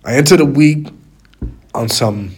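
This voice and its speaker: Raspy, stuffed-up man podcasting casually. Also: medium pitch, a little slow, a little mellow. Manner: rambling, repeats phrases for emphasis. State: stuffy, slightly hoarse from a cold. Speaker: podcast host. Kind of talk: casual solo podcast monologue.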